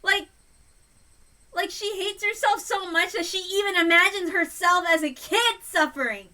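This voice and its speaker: Bright, emphatic woman giving impassioned commentary. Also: very high voice. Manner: emphatic, exasperated, halting. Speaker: reader and commentator. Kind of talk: casual impassioned fan commentary.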